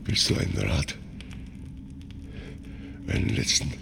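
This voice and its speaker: An older man acting in a German radio drama as a dying king, halting and commanding, with a weak, gravelly voice. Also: noisy background, natural voice. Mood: weary, commanding. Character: dying old king giving last counsel to his son.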